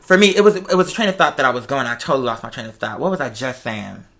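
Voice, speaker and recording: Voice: medium pitch, sing-song, racing, fairly bright tone. An American adult chatting casually and telling personal stories, speaking emphatically and repeating phrases for stress. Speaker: talk show host. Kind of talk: casual personal chat, storytelling.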